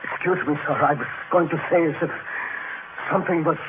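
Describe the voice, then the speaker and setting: A nervous young-rank seaman on a submarine acted by a man reports timidly and fast in a fairly high voice. It has the tinny sound of an old radio drama. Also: steady tone, background noise, natural voice. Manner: deferential, timid.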